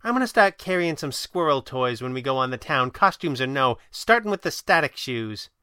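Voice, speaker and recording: A man's voice: mid-range voice, very animated, moderate pace, bright, forceful tone, smooth, no echo, studio quality, pitched above the performer's own voice. A man voicing a brash, teasing young woman crimefighter in an audio drama, with a sardonic, slangy manner. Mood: scolding, grumbling. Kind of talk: audio drama character voice in narrated reading.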